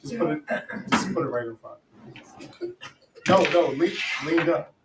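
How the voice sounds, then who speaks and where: An animated man hosts a casual radio chat in a slightly higher voice. His pitch jumps around freely as he coaches his guest. He sits off the mic in an echoey room with steady noise. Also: far from the mic. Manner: animated, coaching, quick laughs. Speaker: radio host.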